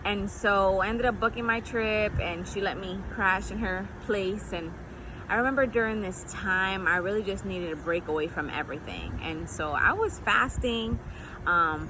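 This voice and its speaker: Young woman vlogger, mid-range voice, upbeat and chatty, filmed outdoors with loud traffic behind. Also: medium pitch. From Connecticut. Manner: chatty, upbeat, many ums. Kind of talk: casual vlog talk to camera. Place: outdoors on an apartment building roof. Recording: outdoor street noise, loud. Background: loud urban noise, traffic and animals.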